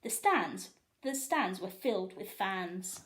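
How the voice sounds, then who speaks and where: A woman reading a children's storybook expressively, pausing to put questions to her young listeners. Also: medium pitch, slight background noise, poor audio. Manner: animated, asks listeners questions.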